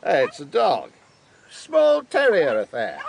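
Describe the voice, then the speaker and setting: An actor playing a hearty eighteenth-century gentleman, a sporting companion, exclaiming in a period radio drama. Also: fairly high pitch, wildly swooping pitch, takes it slowly, vocal fry, slight background noise, full character voice. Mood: teasing. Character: jovial, teasing 18th-century gentleman shooting companion.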